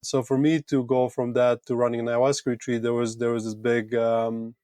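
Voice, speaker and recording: A man with a Latvian accent, a podcast guest telling his personal story casually in halting, thoughtful phrases with repeated words. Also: medium pitch, little pitch movement, dark tone, no echo, clean studio sound. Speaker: podcast guest, retreat owner.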